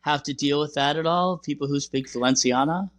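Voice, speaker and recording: A man hosting a podcast, explaining things in a measured, conversational way. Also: on the high side, fairly bright tone. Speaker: podcast host.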